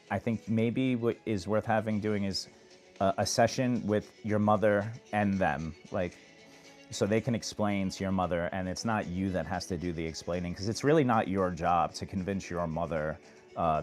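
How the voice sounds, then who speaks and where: Brief: deep, even-toned man on a phone livestream, casual advice chat, faint music underneath. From New York. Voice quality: monotone. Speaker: livestream host. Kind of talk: casual live chat answering viewers.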